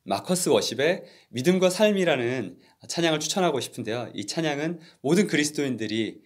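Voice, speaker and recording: A man's voice: fairly high pitch, a little fast. Guy co-hosting a book talk podcast, earnest, voice rises and falls a lot. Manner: earnest, explanatory, lively intonation. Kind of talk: reflective book discussion.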